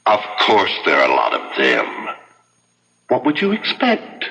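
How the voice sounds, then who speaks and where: Deep, echoing ghostly husband. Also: male voice, huge pitch swings, vocal fry, pushed and bright, full character voice. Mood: aloof, musing. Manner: measured, philosophical, cryptic. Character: dead husband's ghost, calm, aloof, somewhat testy. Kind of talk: 1970s radio drama acting. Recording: band-limited old radio, long cavernous reverb.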